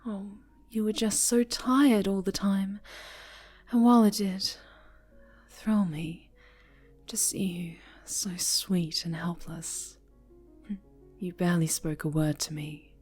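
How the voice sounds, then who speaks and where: A woman voicing a possessive, sinister superhero in an ASMR roleplay, soft and often whispered, with a tender, coaxing menace. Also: mid-range voice, steady tone, slow, pauses often, faint music behind, natural voice. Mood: controlling, tender. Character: possessive, sinister female superhero, a sweetly menacing obsessive captor.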